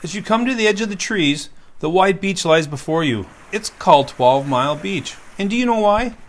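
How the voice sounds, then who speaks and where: A park ranger reading a sensory story aloud to young children, gently asking them questions as he goes. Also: mid-range voice, slight background noise.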